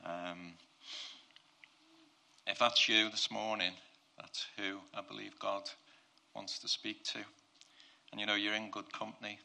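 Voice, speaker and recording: Croaky, gravelly male preacher. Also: on the low side, level tone, measured pace, long pauses, bright, forceful tone. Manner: earnest, repeats and restarts phrases. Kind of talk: prayer and sermon to a congregation.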